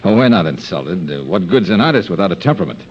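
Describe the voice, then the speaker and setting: On a slightly noisy old radio recording, a smooth-talking private eye. His low voice moves animatedly up and down, casual and full of wisecracks. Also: big pitch swings, quick pace, muffled recording. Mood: smooth, teasing. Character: breezy, wisecracking male private investigator. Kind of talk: 1950s radio detective drama acting.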